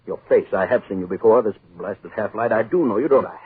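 A man's voice: on the low side, a little fast, slightly rough. An actor playing a pompous, irritable wealthy middle-aged man who blusters, pleads and repeats himself, in 1940s American radio horror style. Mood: frightened, pleading. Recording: muffled old 1940s radio recording.

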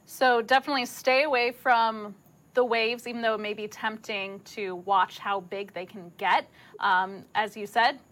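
A woman's voice: a little high, fairly even intonation, normal speed, a bit bright. A woman hosting a news segment, asking a guest questions in a casual, friendly way with some fillers. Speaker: news anchor. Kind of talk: interviewing a guest.

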